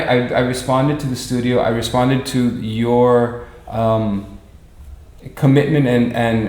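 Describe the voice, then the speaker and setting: A man in his forties with an American accent, chatting reflectively as an interview guest, halting and repeating words as he searches for them. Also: mid-range voice. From Miami, United States. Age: 40s. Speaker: interview guest, performer and director. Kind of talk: casual reflective chat. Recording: echoey room.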